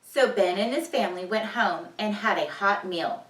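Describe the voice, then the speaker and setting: A woman teacher reading a storybook aloud for a children's vocabulary lesson, expressive and deliberate, repeating key words. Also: medium pitch. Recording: echoey room, distant microphone.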